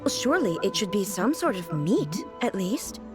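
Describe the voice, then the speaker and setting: A woman's voice: medium pitch, big pitch swings, moderate pace, close to an everyday voice. A woman voicing a stately female ruler-deity in a video game, formal and earnestly literal. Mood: perplexed. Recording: game audio with music behind.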